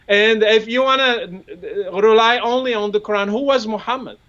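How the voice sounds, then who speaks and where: Arabic-accented man, high voice, muffled audio. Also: normal speed, fairly bright tone. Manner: repeats words while building points, asks rhetorical questions. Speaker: guest commentator. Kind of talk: discussion on a live show.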